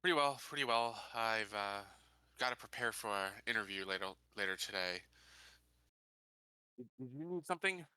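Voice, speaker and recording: A man's voice: lowish voice, animated, normal speed, pauses often, pushed and bright, clear, resonant tone, no room sound, rough recording. A man improvising casually in character as a player in a tabletop roleplaying game.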